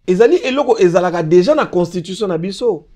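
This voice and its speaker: A man's voice: high voice, expressive, quick pace, dark tone. Emphatic Congolese man explaining law. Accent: Congolese French. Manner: mixes French and Lingala, emphatic, repeats fillers when searching for words. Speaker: guest. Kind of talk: political talk show discussion.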